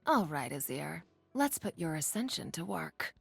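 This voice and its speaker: A woman voicing a cocky, greedy mercenary desert warrior in a video game, husky and gravelly, with dry, sardonic delivery. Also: low-pitched, big pitch swings, a little slow, fairly bright tone, dry recording, soft background music, full character voice. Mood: cool, sardonic. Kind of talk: video game character voice lines.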